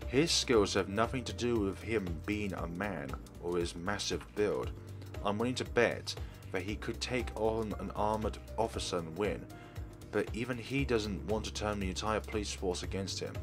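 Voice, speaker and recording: A man's voice: on the low side, normal speed. A man narrating a visual novel aloud as a let's player, reading expressively from the text. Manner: expressive reading, acts out dialogue. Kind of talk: narration read from on-screen text. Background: game music.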